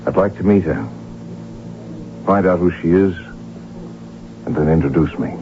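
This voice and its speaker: An actor playing a courtly, smitten crown prince in a radio drama, speaking in a formal, measured, gently wry way. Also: male voice, low-pitched. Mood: gallant, amused. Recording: tinny vintage radio broadcast, music behind.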